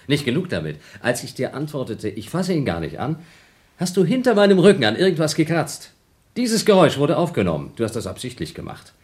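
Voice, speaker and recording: Impulsiver, spöttischer Astronaut, sprunghafte Tonlage. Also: male voice, on the high side, huge pitch swings, fairly quick. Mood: accusing, indignant. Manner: argumentative, sarcastic, swinging from muttering to exclaiming. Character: impulsive, mocking astronaut quarrelling with his distrusted crewmate. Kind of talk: German radio play acting.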